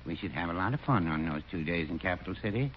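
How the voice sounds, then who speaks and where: An actor playing a mild, henpecked shopkeeper in a 1940s radio sitcom, speaking in a slow, meek, understated way. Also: male voice, deep voice, animated. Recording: dull old radio broadcast with faint hiss.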